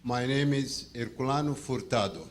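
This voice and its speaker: A man asks his question from the floor of a shareholder meeting. His voice sits at a middle pitch, and his phrasing is formal, elaborate and a little halting. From India. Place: large meeting hall.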